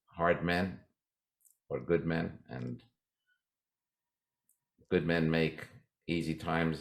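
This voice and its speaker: Older guy in his 70s, super low gravelly voice, emphatic, keeps restarting. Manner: emphatic, restarts often, slows for key lines. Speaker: guest. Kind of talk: interview talk.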